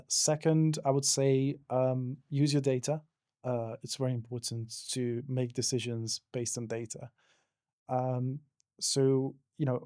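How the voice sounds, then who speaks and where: A male interview guest from Hungary speaks with a Hungarian accent in a soft, mellow tone. His explanations are casual and a little hesitant. The sound is dry, with no room around it. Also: medium pitch, dry recording. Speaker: interview guest, digital marketing professional.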